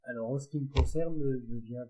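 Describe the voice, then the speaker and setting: A man reads his chess segment to the panel in an even, mid-pitched voice. He moves methodically through his points and closes each one neatly. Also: rough recording. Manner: measured, wraps points with "voilà". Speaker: chess columnist. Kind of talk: presenting a scripted segment.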